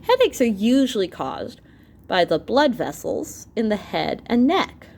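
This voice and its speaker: A woman's voice: mid-range voice, unhurried, vocal fry. A woman reading an educational book aloud as a teacher to students, expressively, speaking directly to her listeners with asides. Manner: animated, with asides and exclamations to listeners.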